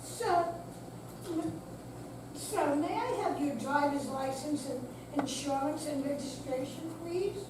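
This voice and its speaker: A woman plays a stern female police officer with a high voice and a deliberate, official manner. It is a live staged reading in a very echoey room. The mic is distant and the noise is clear. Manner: formal, firm, deliberate. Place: senior center hall, live performance.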